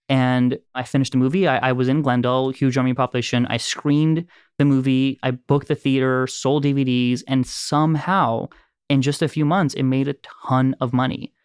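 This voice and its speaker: An animated man in his thirties from Los Angeles tells stories about his early filmmaking days with a resonant, smooth voice. His pitch sits in a medium range, and the sound is close and dry. He laughs easily and keeps the conversation light. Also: dry recording. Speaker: filmmaker and producer. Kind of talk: casual conversation, storytelling.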